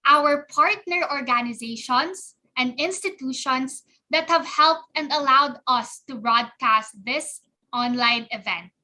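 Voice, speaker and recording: A young woman from the Philippines co-hosts an online event. Her voice sits high and sounds very bright. She reads scripted thanks and long lists of names in an earnest way. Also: measured pace. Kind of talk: scripted hosting, closing thanks.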